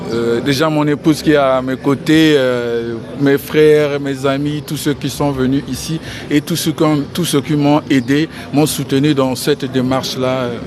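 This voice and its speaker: A man from the Republic of the Congo giving an outdoor press interview, speaking in a measured way and repeating key phrases. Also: mid-range voice, steady tone. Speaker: interviewee, financial engineering expert. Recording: crowd noise behind.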